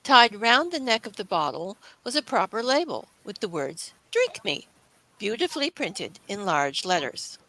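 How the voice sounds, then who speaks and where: A woman narrates a classic children's story from a script. Her voice is bright and forward, at a middling pitch, in a dry, close sound. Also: big pitch swings, moderate pace, dry recording, low-quality recording.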